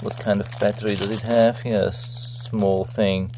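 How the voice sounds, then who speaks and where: A man's voice: fairly low pitch, little pitch movement, a little slow, mellow, a little hiss or hum. A man giving a casual, unscripted product unboxing commentary, thinking aloud in a hesitant, rambling way. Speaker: product reviewer.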